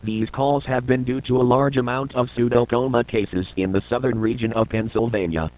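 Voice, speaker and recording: A man's voice: mid-range voice, fairly even intonation, normal speed. Muffled, phone-like male emergency announcer. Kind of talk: scripted emergency broadcast announcement.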